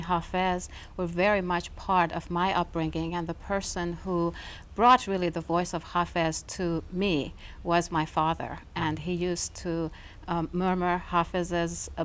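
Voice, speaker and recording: A woman speaks as a guest in a television interview, her voice set slightly low. She talks softly and thoughtfully, pausing often to find her words. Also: smooth. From Iran. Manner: soft-spoken, thoughtful, many ums. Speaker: guest, author. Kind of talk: interview conversation. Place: television studio.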